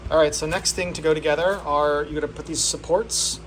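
A man's voice: on the high side, steady tone, fairly quick, slight background noise, poor audio. A man filming a casual, offhand walkthrough of his home workshop, joking at his own expense. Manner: offhand and self-deprecating, with fillers. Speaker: channel host.

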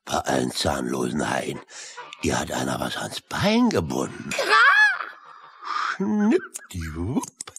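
Swooping, boisterous seafaring uncle. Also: male voice, a bass voice, sing-song, slow pace, gravelly, long pauses, a little hiss or hum, heavily acted. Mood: blustery, curious. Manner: salty sailor exclamations, hearty storytelling. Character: boisterous, yarn-spinning old seafaring uncle with a wooden leg. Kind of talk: children's audio drama acting.

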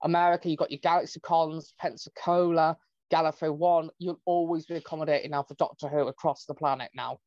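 Young man, 20s, excited podcast chatter, slightly high voice, dull dry lo-fi sound. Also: no echo. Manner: excited, rapid fan chatter. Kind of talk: casual podcast chat.

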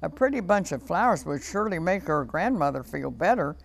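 A woman voicing a sly, smooth-talking male storybook wolf with a coaxing, falsely polite delivery. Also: on the low side, sing-song, fairly quick.

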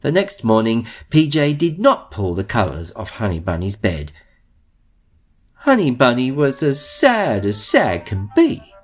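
Very animated man reading a storybook. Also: medium pitch, soft background music. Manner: theatrical, drawn-out emphasis. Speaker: storybook reader.

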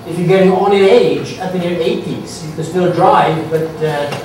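Brief: middle-aged man, Welsh accent with Swedish tinge, slightly high voice, halting lecture delivery with dry asides, captured from far off in a very echoey hall. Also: darkish tone, a little hiss or hum. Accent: Welsh with Swedish influence. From Wales. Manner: halting, many uh fillers, dry humor. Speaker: forensic science lecturer. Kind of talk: conference lecture. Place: conference hall.

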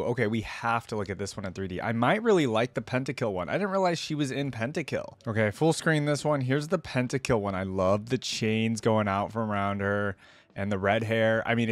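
A man's voice: on the low side, no room sound. A young man giving casual, rambling reaction commentary as a video host, close on a desk microphone. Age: young adult. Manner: rambling, with frequent "like" and "right?". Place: indoors at a desk, gaming setup.

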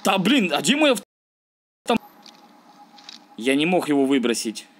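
A man's voice: a little high, wildly swooping pitch, unhurried, a little hiss or hum. A man giving casual, slangy let's-play commentary, thinking aloud and grumbling as he plays. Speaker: gaming video host.

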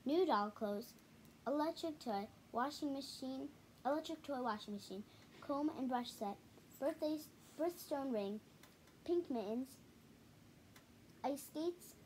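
A girl of about ten to twelve with a fairly high voice reads a picture book aloud. She goes haltingly, repeating words and stepping out for casual comments. It is a home camera recording in a living room, with some noise in the background. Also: expressive, darkish tone. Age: child, about ten to twelve. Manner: halting reading with stumbles and repeats. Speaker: storybook reader.

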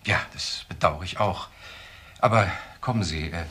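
A man's voice: low voice, moderate pace, sharp tone. A man playing a polite, precise professional in a German crime radio drama, speaking formally and carefully. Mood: earnest, insistent.

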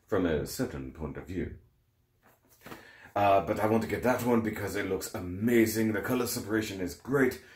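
Chatty man with a slightly sore throat. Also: lowish voice. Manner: rambling, self-correcting, chatty. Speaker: toy and model kit reviewer. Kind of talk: casual unboxing vlog. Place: home kitchen, indoors. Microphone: camera in front at close range. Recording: echoey kitchen.

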